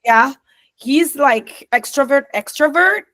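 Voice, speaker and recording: Animated woman from Zambia. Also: high-pitched, slow pace. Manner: animated, laughs often, many restarts. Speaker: company co-founder. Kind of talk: podcast interview.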